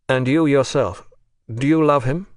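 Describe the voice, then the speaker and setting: A man reading the part of a remorseful, earnest nobleman in an audiobook, in a measured, sincere voice. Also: mid-range voice, no room sound, clean studio sound, close to an everyday voice.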